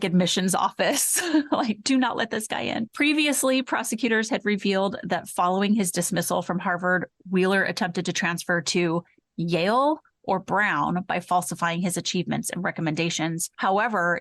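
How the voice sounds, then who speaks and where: A woman's voice: fairly low pitch, no echo, clean studio sound. A woman co-hosting a podcast, mixing scripted case narration with casual, conversational commentary. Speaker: podcast co-host.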